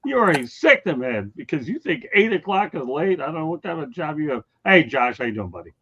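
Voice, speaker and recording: A man's voice: a little high, sing-song, a little fast, a bit bright, husky, highs cut off. A man hosting a casual sports talk show, bluntly teasing and ranting at his co-hosts and viewers. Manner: blunt, teasing, addresses chat viewers by name.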